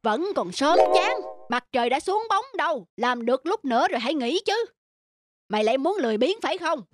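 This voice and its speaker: A woman's voice: sits high, very animated, fairly quick. A woman voicing a sly, preachy middle-aged male landowner in a cartoon folk tale, with a smug, lecturing manner. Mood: scolding.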